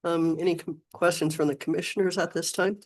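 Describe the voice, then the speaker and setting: Hoarse woman chairing a meeting. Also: lowish voice, fairly even intonation, darkish tone. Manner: brisk procedural phrasing. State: hoarse, losing her voice. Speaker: commission chair. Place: county meeting room.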